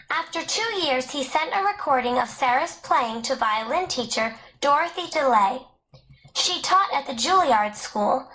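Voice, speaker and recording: A woman narrator with a high voice reads scripted educational material aloud. She delivers it as prepared narration for learners. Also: fairly bright tone, rough recording. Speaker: audiobook narrator.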